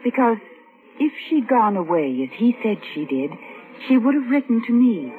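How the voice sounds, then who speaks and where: A woman's voice: on the high side, very animated, moderate pace. An actress playing a resolute, secretive woman in a vintage radio drama, earnest and guarded. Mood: determined. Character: resolute, secretive woman set on exposing a murderer. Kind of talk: 1940s-1950s radio drama acting. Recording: tinny vintage radio recording.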